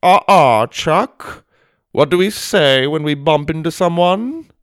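Man putting on a haughty, slow-drawling headmaster. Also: a little high, expressive, dry recording, clean studio sound, voice pushed up. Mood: stern. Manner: haughty, drawn-out, precise delivery. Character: snobbish, fancy male headmaster of a manners school.

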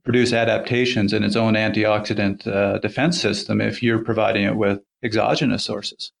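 A man's voice: fairly low pitch, fairly even intonation, normal speed, gravelly. A man in a podcast discussion, agreeably citing research.

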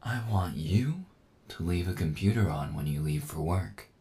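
A man playing a smug, dominant cyborg villain in an ASMR audio roleplay, taunting and condescending with slow menace. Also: lively intonation, mellow. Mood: satisfied, controlling. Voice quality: low, gravelly.

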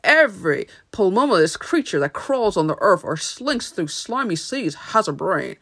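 A woman's voice: mid-range voice, sing-song, normal speed, creaky, fairly bright tone, breathy edge, heavily acted. A woman voicing a grandiose male wizard, a kindly humbug who declaims pompously in a storybook reading. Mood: lofty.

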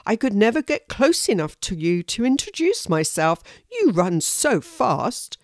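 Male hedgehog for children's story, read by a woman: polite, gentle, caring, mid-pitched, expressive delivery. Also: a little slow, no echo, clean studio sound. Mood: friendly. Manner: gentle, plain-spoken, concerned. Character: kindly, polite male hedgehog with spiky spines.

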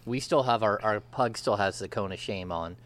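A man's voice: lowish voice, normal speed. A man hosting a casual sports podcast, rambling with lots of fillers in a friendly way. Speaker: podcast host.

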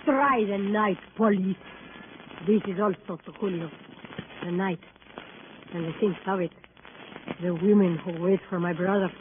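An actress playing a bitter, scornful sister, theatrical and stilted in a 1950s radio drama. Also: female voice, medium pitch, measured pace, pauses often, natural voice. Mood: bitter, brooding. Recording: muffled noisy old radio recording.

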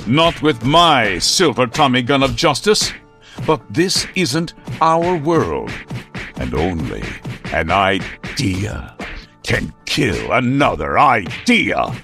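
Melodramatic old radio crimefighter, slow grand delivery, pitch swings around, music under him. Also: male voice, wildly swooping pitch, heavily acted. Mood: grandly defiant. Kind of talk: 1940s radio serial acting.

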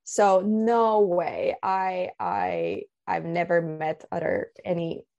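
A woman's voice: lowish voice, big pitch swings, takes it slowly, gravelly, darkish tone, highs cut off. A young woman from Finland, a guest on a podcast, talking casually and thoughtfully with many fillers and restarts.

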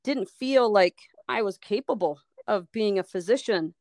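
A middle-aged woman from Liberia, a physician telling her life story in an interview, thoughtful, often pausing and restarting her phrases. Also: medium pitch, darkish tone, no room sound, studio quality. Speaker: sports medicine physician, guest. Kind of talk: reflective personal story in an interview.

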